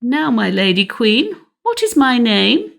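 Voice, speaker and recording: Storybook dwarf, performed by a woman: small cunning man, taunting and exclamatory, slow delivery with some gravel. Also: a little high, big pitch swings, voice pushed up, heavily acted. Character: gleeful, cunning little male dwarf who turns furious. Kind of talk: fairy tale audiobook character.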